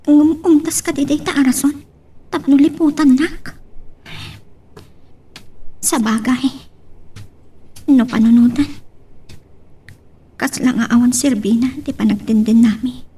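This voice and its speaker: A woman's voice: high voice, fairly even intonation, slow, pauses often, dark tone, slightly rough, distant mic, exaggerated voice. A woman playing a sorrowful, worried wife in an Ilocano love drama, with an Ilocano accent. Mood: sad, hurt. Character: sorrowful, worried wife pressed over having no child. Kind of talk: Ilocano radio-style love drama acting.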